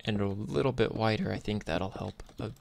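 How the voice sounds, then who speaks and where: Chill guy doing lets play commentary, deep crackly voice, kinda hesitant, bit of hiss and keyboard clicking. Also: normal speed, vocal fry. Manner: laid-back, hesitant, thinking aloud. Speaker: gaming YouTuber. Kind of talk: casual gameplay commentary. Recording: noisy mic, clicks and typing.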